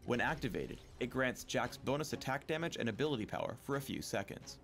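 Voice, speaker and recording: A man reading scripted game tutorial narration in a measured, explanatory manner. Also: medium pitch, lively intonation, gravelly, a bit bright, faint music behind. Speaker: champion spotlight narrator.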